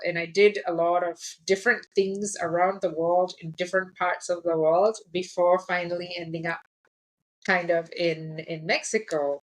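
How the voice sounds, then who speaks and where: A woman's voice: fairly low pitch, poor audio. A woman in her thirties from Singapore, a podcast guest talking in a friendly, reflective way with easy laughs. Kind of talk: casual interview chat.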